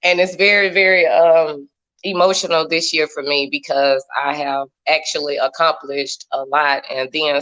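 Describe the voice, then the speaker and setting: Bright-voiced animated woman in her thirties. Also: low-pitched, dull audio. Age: 30s. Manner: animated, laughs often, churchy phrasing. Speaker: guest. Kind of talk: casual chat. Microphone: video call.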